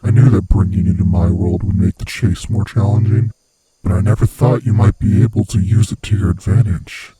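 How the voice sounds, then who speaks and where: A man voicing a very husky, deep predatory monster. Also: little pitch movement, warm tone, no room sound. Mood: triumphant. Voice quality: husky, breathy, rasping low voice. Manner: slow, menacing, theatrically taunting. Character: predatory male monster hunting human prey, gloating, sinister. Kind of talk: horror ASMR roleplay addressed to the listener.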